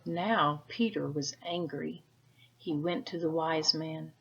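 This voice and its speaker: Slow, husky woman reading a storybook. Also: low-pitched, fairly even intonation. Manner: asks gentle tag questions. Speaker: read-aloud teacher. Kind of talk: storybook narration with casual commentary for children. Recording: dull, lo-fi sound.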